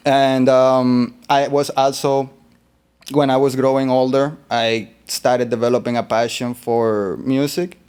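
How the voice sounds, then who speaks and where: A man from Colombia, a guest artist in an interview, talking in a relaxed, rambling way with fillers. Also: mid-range voice, measured pace, clean tone. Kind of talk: interview conversation.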